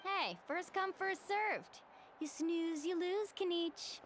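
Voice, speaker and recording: A woman's voice: very high voice, takes it slowly, a little hiss or hum. A woman playing an upbeat, friendly, laid-back young tour guide in a video game. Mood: teasing.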